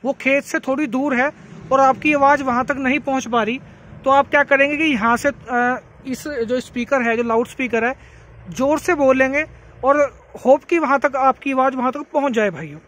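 High-pitched UP man, chatty demo. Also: normal speed, a bit bright, poor audio. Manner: chatty, repetitive, addresses viewers as brothers. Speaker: farming vlogger. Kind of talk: casual product demonstration to camera. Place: outdoors beside a tractor by a green field.

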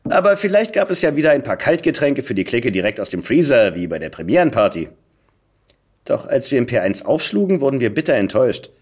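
A man giving an expressive reading of a satirical first-person story, with a dry, wry comic delivery. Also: mid-range voice, fast talker, husky. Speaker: podcast guest, voice actor.

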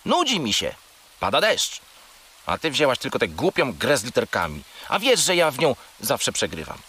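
A childlike, greedy furry creature in a children's radio play. It is plaintive and melodramatic, with a forceful, bright tone and wildly swinging pitch. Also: male voice, wildly swooping pitch, moderate pace, slightly rough, full character voice. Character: small furry toy companion, whiny, greedy, dramatic, childlike. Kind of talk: children's audio drama acting.

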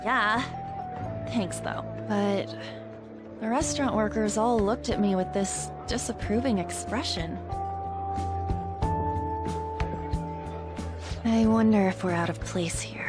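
A woman playing a sincere, sensitive teenage schoolgirl in a video game, earnest and expressive. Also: mid-range voice, a little slow, close to an everyday voice. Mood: annoyed, then hurt, uneasy. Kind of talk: English video game voice acting. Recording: music behind the voice.

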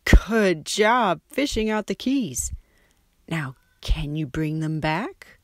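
A woman's voice: lowish voice, lively intonation, takes it slowly, dry recording. A woman voicing an exasperated pet shop man who calls out to his creatures in a storybook. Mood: coaxing. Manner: exclaiming, loudly calling out.

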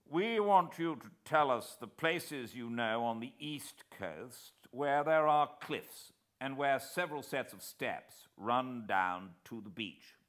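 A man's voice: medium pitch, animated, slow pace, few pauses, a bit bright, voice pushed up. A British man voicing a determined, decisive amateur sleuth in a storybook reading. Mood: intent. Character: determined, urgent male amateur sleuth hero.

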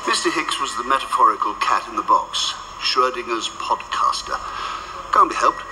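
An actor playing an unflappable department chief who talks briskly, wryly and matter-of-factly. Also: male voice, mid-range voice, expressive, noisy background, natural voice. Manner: brisk, wry, matter-of-fact.

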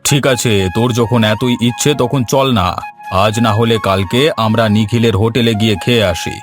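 A man's voice: medium pitch, fairly even intonation, normal speed, lightly acted. A man voicing a grumbling, henpecked village landlord in a cartoon story, with a dry manner. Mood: wry. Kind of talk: Bengali cartoon story voice acting. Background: music.

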